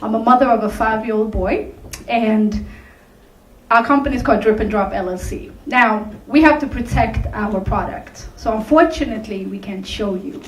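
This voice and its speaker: An upbeat woman presenting her small company to a panel of judges. Her voice sits a touch high. The recording is echoey and distant, as if taken from the back of a large hall. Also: moderate pace. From Tunisia, raised in Sweden. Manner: upbeat, laughing asides. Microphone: distant from the recording mic.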